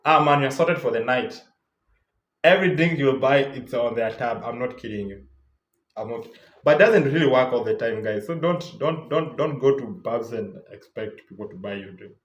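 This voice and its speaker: A man's voice: mid-range voice, expressive, moderate pace, reverberant. A young Kenyan man with a Kenyan English accent, giving casual travel tips in a loose, chatty way full of repeats and fillers. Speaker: travel vlogger. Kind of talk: casual travel advice talk.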